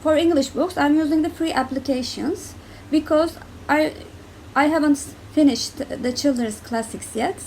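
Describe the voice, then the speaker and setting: A young woman with a Turkish accent talks through her reading habits in a loose, halting way, full of fillers and self-corrections. Her voice sits high with a gravelly fry. A faint hiss of noise runs behind her. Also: darkish tone, low-quality recording. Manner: hesitant, many fillers and restarts, laughs often. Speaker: book vlogger. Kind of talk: casual chat to camera.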